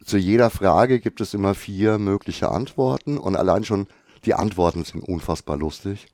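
A man with a Franconian accent hosting a casual chat, enthusiastic and quick to praise. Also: low voice, warm tone, no room sound. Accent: Franconian German. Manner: enthusiastic, repeats "herrlich, wundervoll".

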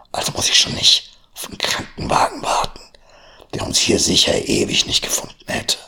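A man with a low, husky voice hosts his podcast and reads his own short horror story aloud. He keeps his narration hushed and often drops to a breathy half-whisper. Gravelly rasp runs through his deep tone. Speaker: podcast host.

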